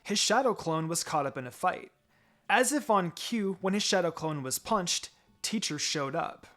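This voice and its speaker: A man's voice: sits high, animated, normal speed, a bit bright. A man reading fan fiction aloud as a dramatic first-person story. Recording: music behind the voice. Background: background music.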